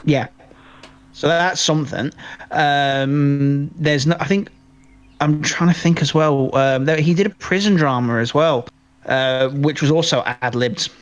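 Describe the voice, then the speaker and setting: A man's voice: fairly high pitch, steady tone, unhurried, dull audio. Male podcast co-host, conversational, loose and rambling delivery with repeated words. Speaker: podcast co-host discussing films. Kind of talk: casual chat.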